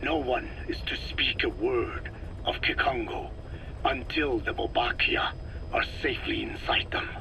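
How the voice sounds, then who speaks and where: A man's voice: mid-range voice, pushed and bright, slight background noise, low-quality recording. An actor playing a grave, learned old man, a scientist lecturing formally in a video game cutscene. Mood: solemn, firm. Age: older. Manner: solemn, deliberate, formal lecturing.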